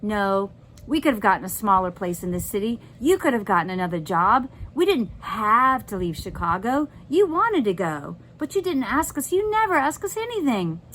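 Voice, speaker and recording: A woman's voice: medium pitch, sing-song, normal speed, fairly bright tone, a little hiss or hum, poor audio. A woman voicing an upset young girl who swings between panic and anger in a children's storybook reading. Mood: angry. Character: panicked, angry young girl narrator, Josie. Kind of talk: dramatic children's novel read-aloud.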